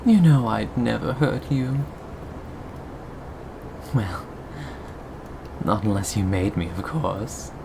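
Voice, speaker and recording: A performer of unclear sex playing a sadistic, possessive cannibal captor in an ASMR roleplay, lingering on words with mock tenderness and soft menace. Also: medium pitch, creaky, background noise. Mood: falsely soothing, threatening. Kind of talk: ASMR roleplay, scripted monologue to listener.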